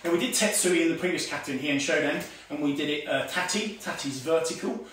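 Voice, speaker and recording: Karate instructor in an echoey room. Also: male voice, fairly high pitch, fairly quick, recorded from a distance, noisy background. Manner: talks while demonstrating moves, calls out commands; many "okay"s and fillers, relaxed teaching tone. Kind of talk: online martial arts lesson. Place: home room with tiled floor. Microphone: far camera on a stand.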